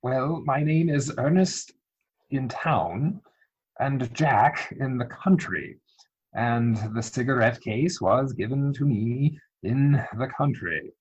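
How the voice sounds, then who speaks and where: A man playing a proper, earnest young English gentleman, evasive and easily exasperated, speaking formally in a dramatic reading of a Victorian stage comedy. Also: medium pitch, takes it slowly, husky, highs cut off. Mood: flustered, evasive. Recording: home recording over video call.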